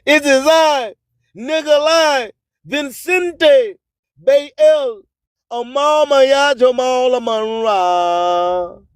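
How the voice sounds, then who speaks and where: A man's voice: very high voice, very slow, clear, resonant tone, dry recording. A man hosting radio with an emphatic, preacher-like motivational monologue full of repeated phrases. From US Gulf Coast. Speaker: radio host.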